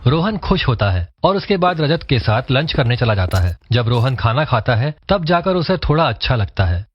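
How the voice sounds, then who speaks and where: A man narrates a cartoon story in a steady, scripted manner at a mid pitch. The voice-over sounds dull and lo-fi. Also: moderate pace, husky.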